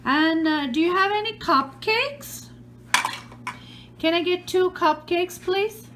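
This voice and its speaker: A woman behind the camera in a home living room, gently and patiently playing pretend shop with a toddler. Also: extremely high pitch, takes it slowly, noisy background. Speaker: parent hosting a kids' channel. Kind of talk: playful pretend-play with a toddler.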